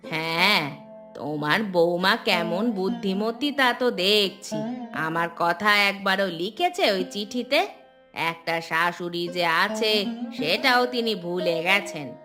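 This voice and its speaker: Lady doing a cranky old village granny, cartoon story, slow nagging sharp voice, music behind. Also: medium pitch, expressive. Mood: bitter. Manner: scolding, complaining. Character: grumpy, spiteful old village grandmother and mother-in-law. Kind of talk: Bengali animated story dialogue.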